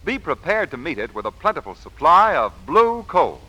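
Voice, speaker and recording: A man's voice: on the high side, big pitch swings, normal speed, pushed and bright, poor audio. A man as a radio announcer reading a scripted vintage advertisement with polished, emphatic salesmanship. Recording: hissy vintage radio recording.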